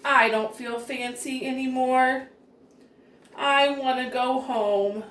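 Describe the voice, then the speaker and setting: A woman reads a children's story in an expressive, careful way, her voice on the high side and very unhurried. The recording is echoey, as if the microphone sits well back in the room. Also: a little hiss or hum. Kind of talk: expressive storybook read-aloud. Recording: roomy echo, distant microphone.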